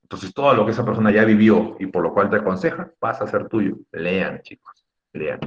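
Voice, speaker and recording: A man's voice: fairly low pitch, fairly quick, dull audio. A man teaching a class in an emphatic, didactic way, repeating key words and checking in with short tag questions. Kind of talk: Spanish lesson on accent rules for students.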